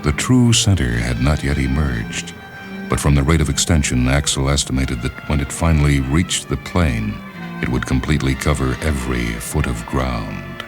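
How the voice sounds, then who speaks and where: A man reading literary fiction as a narrator, in a measured, deliberate and solemn dramatic style. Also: extremely low pitch, expressive. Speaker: narrator, short story reader. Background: background music.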